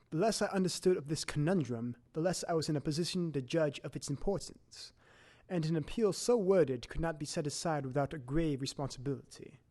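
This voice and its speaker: A man playing a sceptical, proper gentleman doctor who narrates formally and precisely in an audio drama. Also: on the high side, natural voice.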